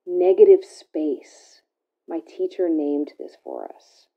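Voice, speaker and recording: A woman giving a slow, reflective spoken-word reading in a measured, pensive way with pauses. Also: fairly low pitch, huge pitch swings, gravelly, phone-quality sound. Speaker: reader of a spoken interlude.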